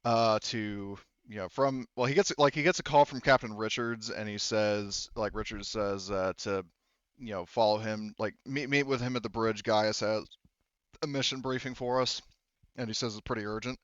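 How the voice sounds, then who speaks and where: A man's voice: lowish voice, normal speed, smooth, no echo. Male tabletop game master, loose informal delivery, clean resonant voice, dry and a little muffled. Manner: informal, loosely phrased, profane. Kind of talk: narrating a tabletop role-playing game, casual.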